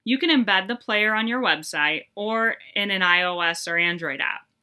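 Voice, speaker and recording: A woman presenting a scripted tutorial in a clear, upbeat, instructional manner. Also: medium pitch, moderate pace, pushed and bright.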